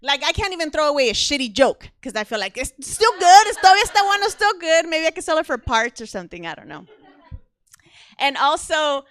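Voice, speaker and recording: A woman's voice: sits high, big pitch swings, fast, a bit bright, dry recording, clean studio sound. A young woman from Mexico with a Mexican accent doing stand-up comedy, chatty and self-mocking, laughing often mid-sentence.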